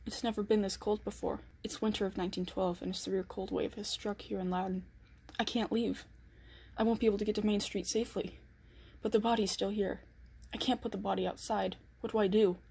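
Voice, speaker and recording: Husky female actor as anxious killer with secrets, dramatic first-person storytelling. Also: mid-range voice, steady tone, brisk, dark tone. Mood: panicked. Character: anxious, secretive 1912 killer snowed in at home.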